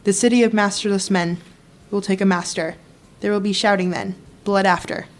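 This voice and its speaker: A woman's voice: mid-range voice, steady tone, moderate pace, lightly acted. Mournful young woman as undead prophetess. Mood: mournful, foreboding. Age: young adult. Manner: mournful, incantatory, solemn. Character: sorrowful risen dead woman, a reluctant prophetess. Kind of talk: poetic prophecy in a radio play.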